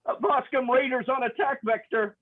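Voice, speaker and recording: An actor playing a nervous, hesitant junior spaceship lieutenant at a comedy sci-fi table read. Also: male voice, steady tone, moderate pace. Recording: muffled, roomy, low-fidelity audio.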